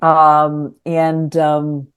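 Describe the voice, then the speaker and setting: A woman's voice: deep voice, monotone, extremely slow, clear, resonant tone, phone-quality sound. Older woman, thoughtful and hesitant. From New York City. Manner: thoughtful, hesitant with many ums. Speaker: interview guest, lawyer and writer. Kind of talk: conversational interview.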